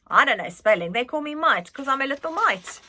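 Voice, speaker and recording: A ragged little urchin girl from a storybook , acted out by a woman. She is cheeky and defiant, blurting her words bluntly in a bright, raised, lively voice. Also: sits high, a little fast, pitched above the performer's own voice, exaggerated voice. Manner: cheeky, blunt, uneducated speech.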